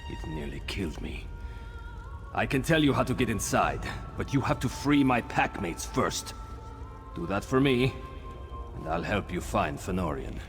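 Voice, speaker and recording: Battered werewolf, very low voice, grim and deliberate, game dialogue, long echoing reverb. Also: male voice, big pitch swings. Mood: insistent. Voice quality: deep voice. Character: battered male werewolf escapee, grim and bargaining. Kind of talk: video game character dialogue. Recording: long cavernous reverb, faint music behind.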